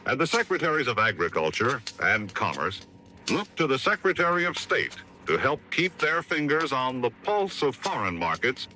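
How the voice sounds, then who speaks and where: A man's voice: mid-range voice, bright, forceful tone, slight background noise. An American man reading a scripted announcement as a 1950s radio announcer.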